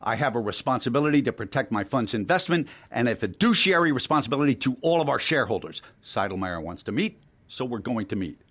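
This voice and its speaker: An actor playing an arrogant, cold investor in an audio drama, speaking with condescending sarcasm. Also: male voice, mid-range voice, sing-song, quick pace, fairly bright tone. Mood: imperious.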